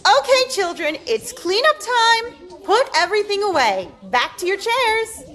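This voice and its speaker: Actress doing a sweet kindergarten teacher, high lilting voice, coaxing little kids, unhurried, film read. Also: female voice, very animated, full character voice. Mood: brisk, instructing. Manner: gentle, coaxing, addressing small children. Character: kind, encouraging female kindergarten teacher. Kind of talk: film table read acting.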